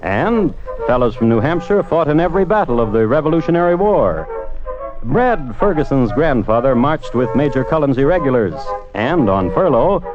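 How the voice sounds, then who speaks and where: A man narrating a scripted old-time radio crime drama in a wry, deliberate manner. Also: mid-range voice, natural voice. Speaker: narrator and host of a crime series. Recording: dull old radio recording. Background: music.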